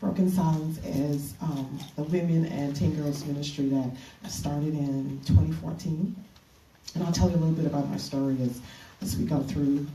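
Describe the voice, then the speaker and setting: A middle-aged woman from the Bahamas, giving an earnest retreat keynote as a minister, halting with fillers and restarts. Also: low-pitched, fairly even intonation, dark tone, a little hiss or hum. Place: conference room. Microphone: handheld mic. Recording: echoey room from a distance.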